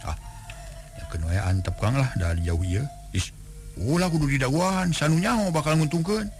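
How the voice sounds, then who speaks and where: A man's voice: a little high, lively intonation, normal speed, pitched above the performer's own voice. A man doing an earnest young hero. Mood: puzzled. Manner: earnest, plain-spoken.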